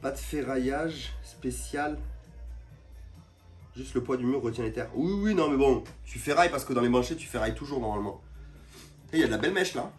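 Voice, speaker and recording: A man's voice: medium pitch, animated, fairly quick, pauses often, faint music behind. A man with a cold, tired, chatting casually and in slang to viewers on a live stream as he shows building work. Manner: informal, slangy. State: has a cold, tired, back pain. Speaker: livestreamer showing building work.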